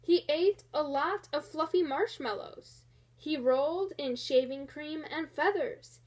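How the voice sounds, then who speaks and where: Female storybook narrator, high-pitched, very measured pace, expressive read-aloud for children. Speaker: storybook reader.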